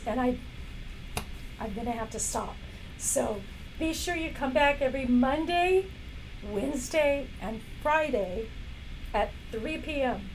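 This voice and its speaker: Hoarse woman hosting a slow live stream. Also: high-pitched, darkish tone, slight background noise, rough recording. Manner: chatty, apologetic, frequent coughs and throat clearing. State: hoarse, losing her voice. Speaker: live shopping stream host. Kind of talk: casual product demo live stream. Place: indoor workplace studio.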